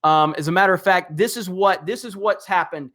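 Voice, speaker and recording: A man's voice: sits high, fairly quick, a bit bright. A man hosting a live stream, reading comments in a rambling, casual way with lots of fillers and chuckles.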